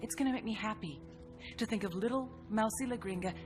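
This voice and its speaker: A woman's voice: medium pitch, fast, background noise, close to an everyday voice. A woman playing a tough, sardonic intelligence agent in a video game, with an American accent and a blunt, punchy delivery. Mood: scornful. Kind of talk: cinematic video game acting and briefing narration.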